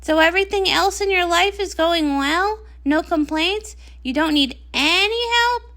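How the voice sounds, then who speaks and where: A woman's voice: very high-pitched, measured pace, pushed and bright, voice pushed up, exaggerated voice. A woman voicing a whimsical, boastful magical wishing well nymph in a comic read-along, chatty and theatrical. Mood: probing.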